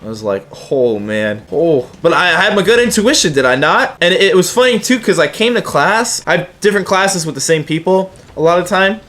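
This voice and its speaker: A man's voice: sits high, expressive, a little fast, few pauses, fairly bright tone. A young man telling personal anecdotes in a casual, slangy, sometimes profane way, acting out the dialogue as he goes. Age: young adult. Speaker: YouTuber telling personal stories.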